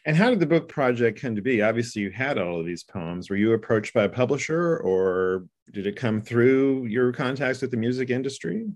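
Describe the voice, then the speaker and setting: A man interviewing a musician, asking long, measured questions. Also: mid-range voice, lively intonation, darkish tone.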